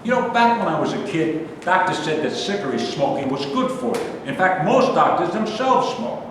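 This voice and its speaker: A man plays an aggrieved, neurotic grumbler in a staged play, his fairly high voice swinging up and down with indignation. He is heard from far off in a very echoey hall. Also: sing-song, normal speed, background noise. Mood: bitter self-pity. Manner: indignant, complaining, emphatic.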